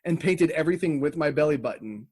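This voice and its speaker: A man with a high, very animated voice chats casually as a comedy podcast host. He rambles along and slips into little imagined quotes for fun. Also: quick pace, husky. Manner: rambling, playfully acts out imagined remarks.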